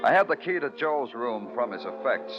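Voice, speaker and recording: An actor in an old radio crime drama playing a brooding, weary police detective who talks tersely and narrates in moody prose. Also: male voice, medium pitch, very animated, normal speed, natural voice. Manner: terse, world-weary, poetic. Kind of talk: noir radio narration and dialogue. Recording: muffled old radio recording, music behind.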